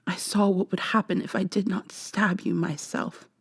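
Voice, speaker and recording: A woman playing an earnest, regretful robot woman in an ASMR audio drama, speaking softly in a formal, measured way. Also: mid-range voice, steady tone, gravelly, breathy edge, no room sound, clean studio sound. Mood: pleading, regretful.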